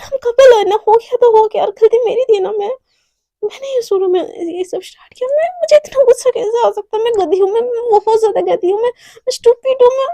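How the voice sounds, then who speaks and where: A shy young woman, voiced by a woman storyteller, who keeps tripping over her own words, repeating them nervously as she covers up a secret. The voice sits high and soft-toned, with very close, dry sound. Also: animated, moderate pace, no room sound. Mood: self-scolding.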